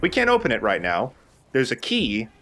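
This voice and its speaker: A man's voice: medium pitch, huge pitch swings, moderate pace, pushed and bright. A man giving casual, sweary and jokey commentary as he plays a video game. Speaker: let's play host, player. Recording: game music behind the voice. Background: video game music.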